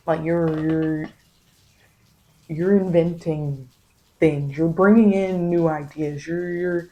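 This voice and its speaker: A woman giving a soft ASMR tarot reading, speaking in a halting, repetitive, reassuring way as she offers spiritual guidance. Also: deep voice, poor audio. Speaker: tarot reader.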